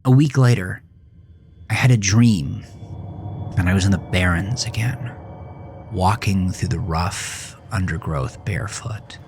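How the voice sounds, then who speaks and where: Low-voiced haunted teenage boy. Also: measured pace, vocal fry, pauses often, a little mellow, a little hiss or hum. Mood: eerie, brooding. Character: haunted, dazed teenage boy narrating his horror confession. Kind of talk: first-person horror audio drama narration.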